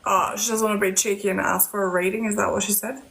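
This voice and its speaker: A woman with an English accent chatting casually on a live stream, her voice hoarse and croaky, often saying "like" and "you know". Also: mid-range voice, fairly even intonation, quick pace, fairly bright tone, slight background noise. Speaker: medium.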